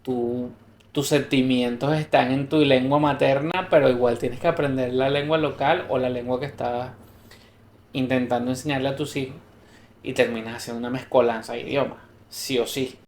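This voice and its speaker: A man's voice: medium pitch, steady tone, moderate pace, slight background noise. A man co-hosting a podcast, talking casually and thoughtfully, explaining ideas through examples and restarts. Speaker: podcast co-host. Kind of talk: casual conversational podcast chat. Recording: roomy echo.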